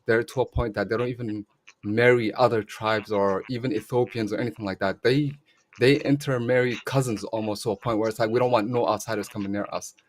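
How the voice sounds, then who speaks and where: A man's voice: on the low side, fairly even intonation, brisk. A man from Somalia, grown up in Canada, calling in to a podcast and speaking earnestly about his views. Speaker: caller. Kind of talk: casual call-in chat.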